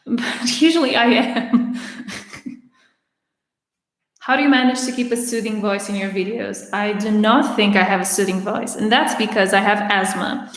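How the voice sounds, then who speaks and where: A woman in her twenties with a raspy voice, hosting a casual Q&A livestream and chatting through viewer questions with a few restarts, speaking with some strain. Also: mid-range voice. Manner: chatty, with frequent restarts and laughter. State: having trouble speaking. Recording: roomy echo with long reverb.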